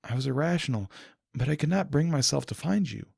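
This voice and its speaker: A man voicing an ancient, regal female pony princess in an audiobook, with formal, measured, gentle and patient speech. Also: fairly high pitch, expressive, vocal fry, breathy edge, dry recording, studio quality, pitched above the performer's own voice, full character voice. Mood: solemn, forgiving. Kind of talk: dramatic audiobook character voice.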